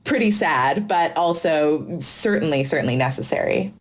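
A woman with a slightly low voice speaks in a casual discussion. She is conversational and opinionated, and she peppers her points with "like" as she builds them. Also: expressive, moderate pace.

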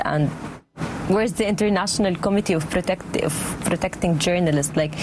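A woman in her twenties from Gaza, a native Arabic speaker, answering interview questions in an earnest, measured way. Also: lowish voice, noisy background. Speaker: author and journalist interviewee. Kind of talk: poetry reading and interview answers.

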